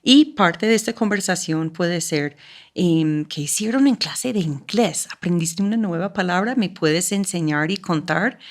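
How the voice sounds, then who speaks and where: A woman's voice: fairly low pitch, expressive, a little slow. A woman speaking as an interview guest and education coordinator, giving practical tips in an explanatory way and often checking in with "¿sí?". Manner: checks in with listener using "¿sí?". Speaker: school language program coordinator.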